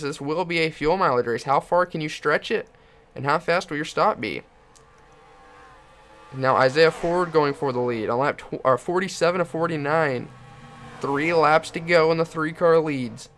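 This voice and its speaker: A young man giving excited play-by-play commentary on a video game car race and hosting his channel, getting hoarse as he goes. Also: a little high, a little hiss or hum. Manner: builds to shouting excitement at the finish.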